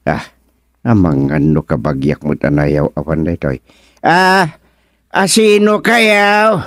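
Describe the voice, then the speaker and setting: An actor playing a flustered, slow-witted village man in an Ilocano radio comedy, stammering and exclaiming in an Ilocano accent. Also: low voice, a bit bright, no echo, studio quality.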